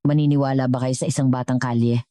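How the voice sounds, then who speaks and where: A woman's voice: a bass voice, steady tone, quick pace, warm tone, dry recording, clean studio sound. A woman voicing a scheming, defensive male vice president, indignant in an audio story drama. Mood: indignant denial.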